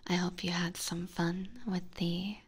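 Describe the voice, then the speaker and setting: A woman hosting ASMR, chatting to her viewers in a soft, intimate, breathy whisper. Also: on the low side, little pitch movement, a little slow. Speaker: ASMR streamer, host.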